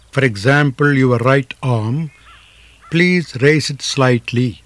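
A man narrating a guided relaxation as a yoga teacher, in measured, deliberate phrases with frequent pauses. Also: medium pitch.

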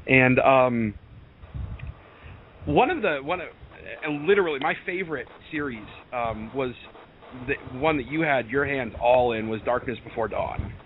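Halting young man interviewing over tinny audio. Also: medium pitch. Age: young adult. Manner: hesitant, halting with repeated words. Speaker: podcast interviewer. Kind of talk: casual fan interview. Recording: tinny phone-like sound, faint noise.

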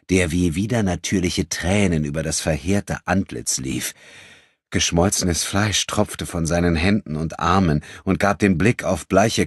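Male audiobook narrator, very low pitch, husky, grave, suspenseful, measured, dry recording. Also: no echo.

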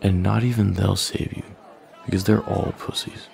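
A man playing a smug, menacing young male bully in an intimate audio roleplay, taunting with slow, deliberate threats in a deep, gravelly voice. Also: steady tone, a little mellow, some chatter behind. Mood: contemptuous. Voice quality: deep, gravelly, breathy at line ends.

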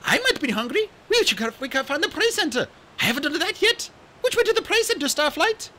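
A man's voice: very high-pitched, very animated, a little fast, bright, forceful tone, voice pushed up, heavily acted. A man voicing a bubbly, bold and chatty young female dragon in a fantasy storybook.

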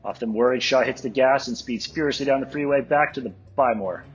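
Guy narrating a script, really husky, muffled sound with faint music. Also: medium pitch, fairly quick. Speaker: stage direction reader.

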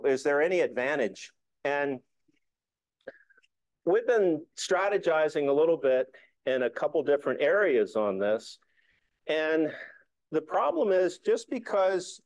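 A man's voice: on the high side, no echo, low-quality recording. A middle-aged man giving an informal club presentation, explaining things in a conversational way. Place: indoor meeting room.

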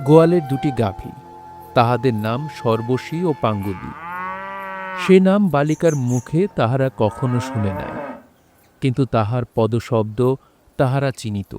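Guy narrating a literary story, measured and poetic, medium pitch, light music behind. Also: a little mellow. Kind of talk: literary scripted narration in an audio drama.

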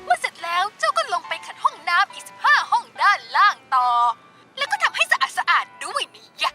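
High snooty bossy palace servant, commanding, lively pitch. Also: female voice, fairly quick, over loud music.

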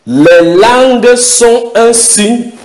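A man's voice: very high voice, a little mellow, soft background music. A man preaching a sermon, questioning the congregation and stretching out key words for emphasis. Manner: emphatic, questions the audience, drawn-out stresses.

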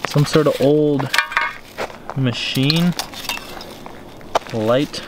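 A man's voice: fairly high pitch, extremely slow. An American man hosting an exploring vlog, talking casually and curiously to viewers as he walks. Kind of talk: casual walking commentary to viewers. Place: outdoors in an open overgrown field. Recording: noisy outdoor camera audio.

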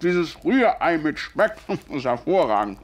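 A genial Victorian doctor in a radio play. He is jovial and unhurried, with highish, very lively pitch. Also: background noise. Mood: amused, hearty. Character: genial Victorian gentleman doctor, hearty eater. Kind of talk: audio drama dialogue.

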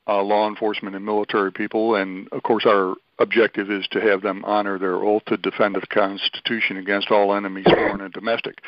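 A man speaking as an organization's spokesman on a conference call, explaining in measured, courteous and careful phrases. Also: on the low side, dry recording. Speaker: spokesman for an oath-keeping organization. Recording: dull band-limited phone line.

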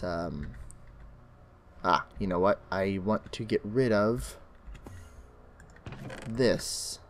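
A young man hosting his own Minecraft let's play, chatting as he plays, his voice crackly and bass-heavy from illness. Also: darkish tone. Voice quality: extra bass from an allergic reaction. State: sick, crackly voice. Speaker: gaming channel host.

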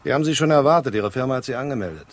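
An actor playing a brisk, businesslike police lieutenant in a crime radio drama. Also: male voice, mid-range voice, animated, natural voice. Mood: brisk, formal.

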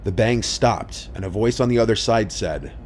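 A man reading first-person horror stories in a scripted narration, with a low, hushed storytelling delivery. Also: moderate pace, slight background noise. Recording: soft music underneath.

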